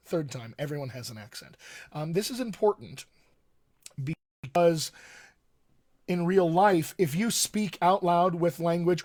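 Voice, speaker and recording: A man's voice: a little high, a little mellow. Upbeat American man coaching from his study. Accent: General American with light West Texas and upstate New York features. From Colorado. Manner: enthusiastic, gestures a lot, repeats key points. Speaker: dialect coach. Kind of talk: live-streamed coaching talk. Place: home study with bookshelves, indoors.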